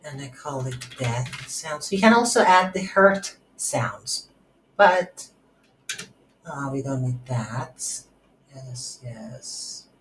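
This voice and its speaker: A woman giving an unscripted screen-recorded coding tutorial, thinking aloud in halting short phrases. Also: very deep voice, animated. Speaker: tutorial instructor. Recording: roomy echo, audible background noise.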